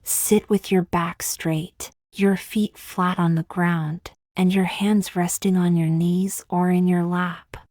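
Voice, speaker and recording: A woman with a slightly low voice guides a beginner meditation. She speaks softly and breathily, at times close to a whisper, in a gentle, soothing manner with long pauses. The sound is dry, with no room echo. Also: flat delivery. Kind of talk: scripted guided meditation narration.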